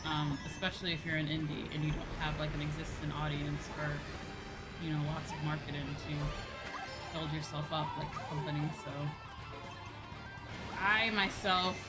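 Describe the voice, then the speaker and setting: Low-pitched woman streamer chatting over music. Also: steady tone, moderate pace, poor audio. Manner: informal, conversational, emphatic repetition. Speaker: livestreamer, VTuber. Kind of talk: casual chat while gaming on a livestream. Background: background music.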